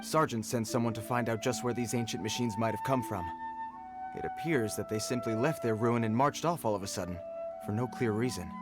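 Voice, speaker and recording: An actor playing a dutiful male soldier in a video game, speaking in a formal, measured and earnest way. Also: mid-range voice, very animated, natural voice. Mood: grave, informative. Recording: game music under the voice.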